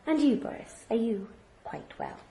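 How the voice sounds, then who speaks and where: An actress playing a lively, wilful, teasing sixteen-year-old aristocratic girl in a radio drama. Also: fairly high pitch, normal speed, a little hiss or hum, lightly acted. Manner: playful, impetuous, pleading with her mother.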